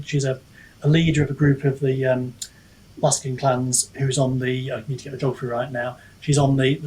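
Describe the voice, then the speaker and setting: Guy w a husky mid-pitched voice, casual author interview, musing n kinda self-deprecating. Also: a little mellow, low-quality recording. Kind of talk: casual reflective podcast chat.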